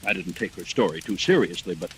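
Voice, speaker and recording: Brisk, skeptical doctor, radio mystery. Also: male voice, sits low, lively intonation, slight background noise. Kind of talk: old-time radio mystery acting.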